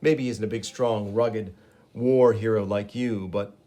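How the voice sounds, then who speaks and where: A man's voice: fairly low pitch, fairly even intonation, measured pace, dark tone, close to an everyday voice. Brief: man playing a devoted young woman, earnest and a little flustered. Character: devoted young woman, protective of her boyfriend.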